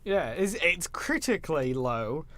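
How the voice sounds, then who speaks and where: A man's voice: on the high side, big pitch swings, unhurried, fairly bright tone. A man co-hosting a podcast, talking casually and emphatically as an opinionated fan, with some swearing. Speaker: podcast co-host. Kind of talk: casual film discussion.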